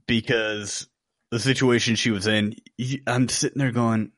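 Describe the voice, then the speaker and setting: A man's voice: mid-range voice, steady tone, normal speed, no room sound. A man co-hosting a podcast, casually chatting through a film review and acting out his reactions as he retells it. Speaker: podcast co-host.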